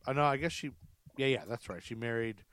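A man's voice: medium pitch, fairly quick, fairly bright tone, clean tone, dry recording. A man hosting a podcast, chatting casually and chiming in with reactions and comments. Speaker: podcast host.

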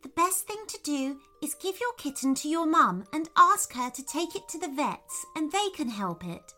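A woman voicing a tiny, kindly firefly in a children's story, a wise teacher with a gentle, reassuring, motherly manner. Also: sits high, big pitch swings, normal speed, soft background music, voice pushed up, heavily acted. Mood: caring instruction.

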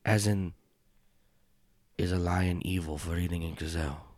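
A man voicing an ancient male spider-bodied outcast creature who speaks slowly in a hushed, halting way, often near a whisper. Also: low-pitched, fairly even intonation, no room sound, natural voice. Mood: solemn. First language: not English. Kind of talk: in-character tabletop roleplay.

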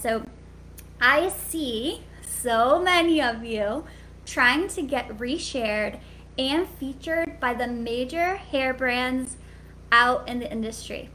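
Sweet high-voiced woman coaching frankly. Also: slow, fairly bright tone, a little hiss or hum. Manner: candid, chatty, frequent "like" fillers. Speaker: business coach for hairstylists. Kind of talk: live-streamed coaching talk.

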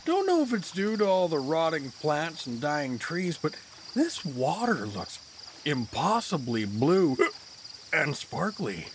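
A man acts out an oafish, tipsy dad in a comic fantasy audio drama. He rambles goofily at a very slow pace with swooping intonation, over faint noise. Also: a little high, big pitch swings. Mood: awed, rambling. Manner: rambling, goofy. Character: oafish, tipsy, rambling middle-aged dad, cheerfully oblivious.

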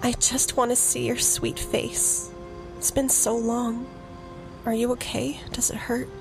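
Conflicted young cop. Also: female voice, on the high side, level tone, unhurried, vocal fry, music in the background. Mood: tender. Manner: tender, then firm and commanding. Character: young woman police officer, torn between love and duty.

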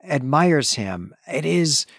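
A man's voice: on the high side, sing-song, slow pace, vocal fry, a bit bright, dry recording, studio quality. A man co-hosting a podcast, discussing a novel in an earnest, conversational way and often checking in with "right?". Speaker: podcast co-host. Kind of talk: casual literary discussion.

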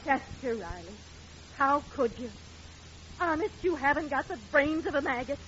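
A woman's voice: high voice, animated, moderate pace, a bit bright, a little hiss or hum. An actress playing a feisty, scolding middle-aged American wife and mother in a 1940s radio comedy. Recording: muffled vintage radio recording.

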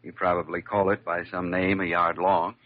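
A man's voice: deep voice, flat delivery, normal speed. An American man acting a plain-spoken, folksy police lieutenant in an old-time radio drama. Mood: easygoing. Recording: dull vintage radio recording.

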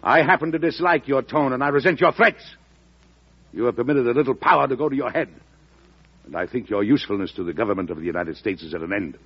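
A man's voice: medium pitch, lively intonation, fast, slightly rough. An actor playing a stern, shrewd middle-aged president and father in an old-time radio drama, speaking formally and with authority. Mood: indignant, commanding. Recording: dull lo-fi old radio recording.

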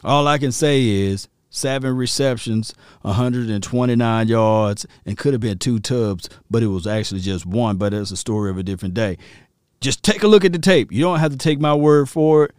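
A man with a Mississippi drawl riffs on football like he is talking to friends, laughing and calling out to his listeners. His voice sits at an ordinary pitch, and the sound is close and dry. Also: normal speed, clear, resonant tone, no echo. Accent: Southern US, Mississippi. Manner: animated, laughing, talks straight to listeners. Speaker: podcast host. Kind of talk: casual sports commentary.

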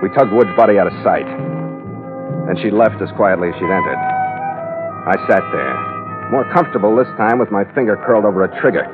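A man's voice: fairly low pitch, expressive, brisk, pauses often, over loud music, natural voice. An American man playing a tough, wry sea captain who narrates his own adventure in a clipped, world-weary old radio drama style. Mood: hard-boiled narrating. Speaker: lead actor and first-person narrator. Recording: muffled vintage radio recording, musical underscore.

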